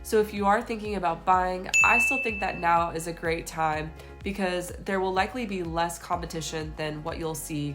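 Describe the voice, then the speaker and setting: A woman's voice: on the low side, a bit bright. A young woman, a real estate agent, giving a scripted market update to camera, her voice nasal from a cold. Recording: faint music behind.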